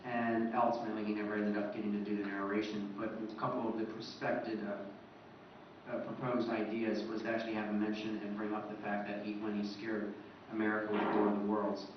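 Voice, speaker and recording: Lowish voice guy giving a history talk, far from the mic, noisy recording. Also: completely flat delivery, fast talker. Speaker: co-presenter, radio historian. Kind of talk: informal historical lecture. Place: echoey presentation room at a convention. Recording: roomy echo, distant mic, dull, clear noise.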